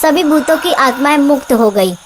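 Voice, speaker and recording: Woman narrator for a scripted ghost story, notably high voice, slight noise behind. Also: expressive, moderate pace.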